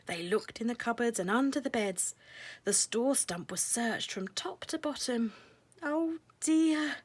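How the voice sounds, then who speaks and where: A woman narrating a children's storybook in a measured, expressive way, letting phrases end softly. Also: fairly high pitch.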